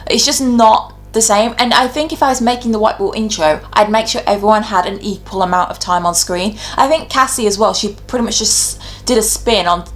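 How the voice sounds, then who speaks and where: A young adult woman giving casual, opinionated video commentary, talking chattily and explaining her reasoning point by point. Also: medium pitch. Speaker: YouTube host giving ranked commentary.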